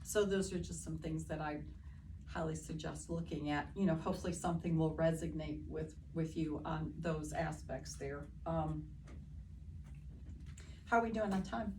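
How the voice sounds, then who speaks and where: A woman, a real estate agent giving a chatty, animated live seminar talk in an office meeting room. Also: low-pitched, normal speed. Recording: distant mic with room noise.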